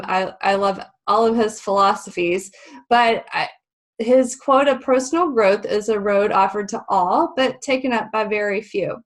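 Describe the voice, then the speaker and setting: A woman hosting a webinar, a little stuffy and nasal, talking in a friendly, chatty way with many ums. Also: medium pitch. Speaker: webinar host. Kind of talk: live webinar presentation. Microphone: video call.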